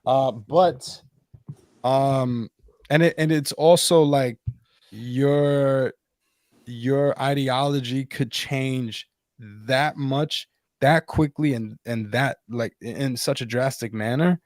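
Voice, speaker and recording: A man hosting a casual political chat over a video call, pausing with lots of fillers and restarts. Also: medium pitch, darkish tone, no echo, clean studio sound. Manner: halting, many fillers and restarts. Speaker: podcast host.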